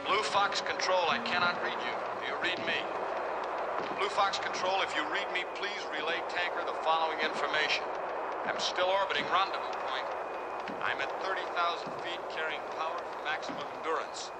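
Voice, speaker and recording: An actor as tense military pilot, loud engine noise. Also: male voice, high voice, steady tone, brisk, close to an everyday voice. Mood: urgent, formal. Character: male military pilot, tense, disciplined, radioing for help. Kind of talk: mid-century TV suspense drama acting.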